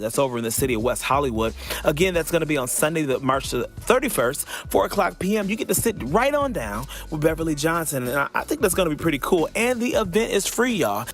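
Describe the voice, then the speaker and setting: A man hosting a podcast in a casual, upbeat way, playful and folksy as he talks straight to listeners and repeats phrases for emphasis. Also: on the high side. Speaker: podcast host.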